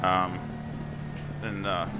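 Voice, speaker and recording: A man hosting his gameplay channel, hoarse and losing his voice, talking in a relaxed, rambling way with many fillers. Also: low voice, drawn-out words, over loud music. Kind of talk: casual sim racing commentary. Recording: voice over loud car engine noise.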